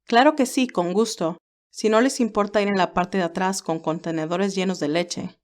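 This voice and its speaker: A woman's voice: medium pitch, normal speed, a little mellow, natural voice. A woman voicing a friendly, plain-spoken male farmer who drives a cart in an audio story. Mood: obliging. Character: obliging male farmer driving a milk cart.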